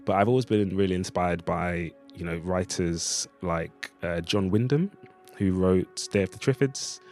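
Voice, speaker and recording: A man's voice: low voice, measured pace, darkish tone, soft background music. A man giving a thoughtful, discursive interview as a writer, speaking in a reflective conversational way. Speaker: writer of a radio play, interviewee.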